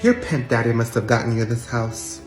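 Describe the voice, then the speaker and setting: A performer voicing a sassy, confident woman store manager who speaks in a slow, cool, cutting way. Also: medium pitch, voice pushed down, natural voice. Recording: roomy echo with faint music.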